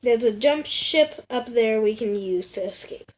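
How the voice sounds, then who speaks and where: A woman's voice: fairly high pitch, lively intonation, unhurried, darkish tone. A woman voicing a tough, confident spy superhero with a dry, businesslike manner, in a storybook reading.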